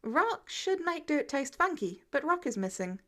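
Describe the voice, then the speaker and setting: An eager, brainy teenage boy read by a woman, pitched fairly high. He talks with earnest, excitable energy, like a young science prodigy in an audiobook. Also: measured pace, full character voice. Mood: keen.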